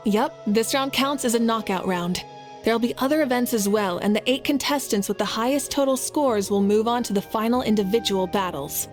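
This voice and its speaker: An actress plays a young woman warrior leader in a video game. Her voice sits a little high, self-assured and easygoing with a playful edge. Faint music runs underneath. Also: fairly quick, lightly acted. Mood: confident, keen.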